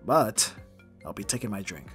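A man's voice: on the low side, animated, moderate pace, a bit bright, soft background music. A man playing a caring but easily exasperated young roommate in an ASMR roleplay audio drama, casual and dryly bantering. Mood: deadpan, bantering.

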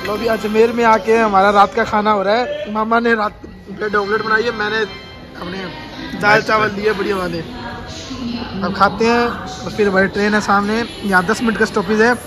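A man's voice: sits high, level tone, normal speed, over music. A young man casually narrating his train journey as a travel vlogger, chatty and full of updates. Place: on a train and station platforms. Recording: crowded train station noise.

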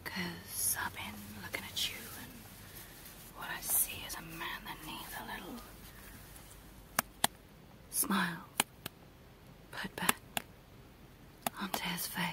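Woman acting a slow-whispering evil clown dentist. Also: fairly low pitch, fairly even intonation. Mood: mock concern. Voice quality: breathy whisper. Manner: slow, teasing, menacing, drawn-out phrases. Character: creepy evil clown dentist, menacing female kidnapper. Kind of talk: whispered ASMR horror roleplay. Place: small dark room indoors, close to the mic.

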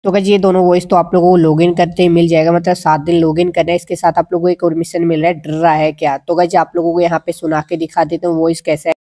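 A man's voice: fairly high pitch, flat delivery, quick pace, few pauses, mellow. A man with an Indian accent giving a casual, instructional gaming tutorial voice-over, talking to viewers like friends. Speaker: gaming video creator.

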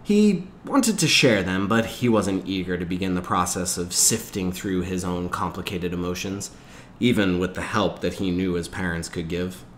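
A man reading a novel aloud as a teacher, in expressive storytelling narration. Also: deep voice, moderate pace, slight background noise. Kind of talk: expressive audiobook-style narration.

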